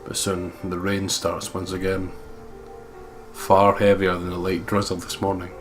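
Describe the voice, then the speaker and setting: Male let's play co-host, lowish voice, expressive script reading and casual asides, game music clearly behind. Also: unhurried. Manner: expressive, emotive line reading. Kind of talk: dramatic read-aloud of game script with casual chat. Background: video game music.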